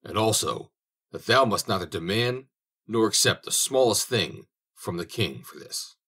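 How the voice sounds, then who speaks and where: A man's voice: on the low side, animated, unhurried, bright, forceful tone, slightly rough, exaggerated voice. A man voicing a stern holy saint who scolds in old-fashioned speech, in an audiobook reading.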